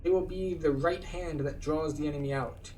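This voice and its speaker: A man's voice: a little high, steady tone, normal speed, darkish tone, distant mic, a little hiss or hum, lightly acted, rough recording. A man voicing an earnest, solemn quest-giver who briefs an adventurer in a fantasy game. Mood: grave, urgent. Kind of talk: read-aloud fantasy game dialogue.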